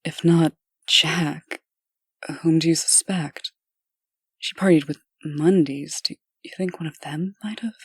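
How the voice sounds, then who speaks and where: Woman playing a low, husky, determined official. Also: fairly even intonation, takes it slowly, no echo, clean studio sound, heavily acted. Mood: troubled. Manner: precise, earnest, insistent. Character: formal, determined woman official, insistent and serious. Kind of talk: comic dub character acting.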